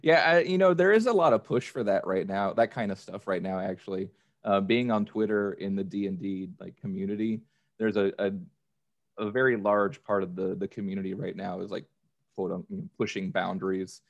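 Gravelly, lowish-voiced man chatting casually. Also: a little fast, dry recording, lo-fi sound. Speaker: podcast guest, audio drama actor. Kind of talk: casual chat.

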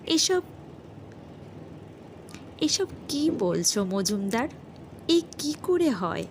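A woman's voice: a little high, very animated, drawn-out words, noisy background, exaggerated voice. Woman acting a blustering, incredulous male police chief. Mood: scolding, incredulous. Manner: scolding, emphatic questioning. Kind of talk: audio horror story character voice.

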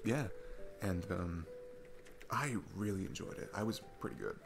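Low, gravelly, rambling young dad played by a man. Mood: self-deprecating, playful. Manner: rambling, halting, self-deprecating, breaks into chuckles. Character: awkward, chatty, self-deprecating young single dad on a date. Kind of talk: ASMR boyfriend-style roleplay, casual improvised-sounding chat. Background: background music.

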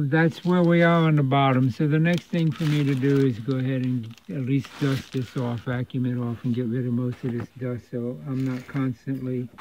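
A man's voice: mid-range voice, darkish tone, slight background noise. A man giving a casual, unscripted workbench narration as a repair technician, explaining in a rambling, conversational way. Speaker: radio repair technician presenting a repair video. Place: indoor electronics repair workshop. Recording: thin phone-like sound.

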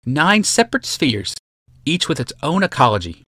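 A man's voice: on the high side, sing-song, normal speed, bright, forceful tone, dry recording, clean studio sound, pitched above the performer's own voice, heavily acted. A male narrator voicing a smug, teasing woman who walks between worlds. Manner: teasing, matter-of-fact. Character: smug, sly female otherworldly traveler.

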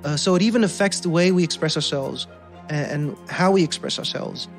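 A man's voice: a little high, a little fast, soft background music. A young man with a Dutch accent, talking casually as a podcast guest, thoughtful, restarting sentences and laughing easily. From Netherlands. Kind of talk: casual conversational interview.

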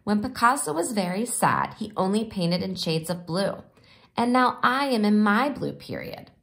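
A woman's voice: medium pitch, very animated, normal speed, vocal fry, fairly bright tone. A woman voicing an earnest, artistic young schoolgirl in a storybook, moody and solemnly precocious. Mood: gloomy, dramatic. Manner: solemn, declarative, a little precocious.

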